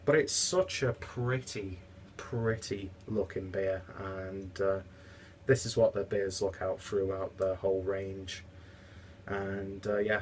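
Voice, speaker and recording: A young man talks to his webcam from a home kitchen, his lowish voice stuffy and congested. He rambles on chattily with plenty of fillers. A faint hiss sits under the recording. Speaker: beer reviewer. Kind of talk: casual vlog review.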